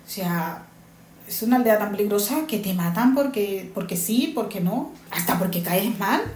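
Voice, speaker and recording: A woman talks earnestly and circles back to repeat her points. Her voice is mellow and dark. She sits in a roomy, echoey space with faint ambient noise behind her. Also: mid-range voice, lively intonation, normal speed. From Honduras. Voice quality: earnest, repeats herself for emphasis. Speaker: interviewee, migrant mother. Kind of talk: earnest personal testimony.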